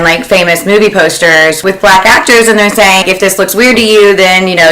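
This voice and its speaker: A woman's voice: fairly low pitch, fairly bright tone. A woman chatting casually on a group podcast in a conversational way, full of "like". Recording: echoey room.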